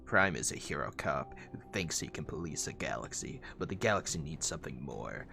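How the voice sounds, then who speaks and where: A man's voice: a bass voice, expressive, brisk, creaky, pushed and bright, voice pushed down, heavily acted. A man voicing a bitter, curt robot police officer in a comic dub, terse and brooding, with music behind him. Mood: curt, commanding.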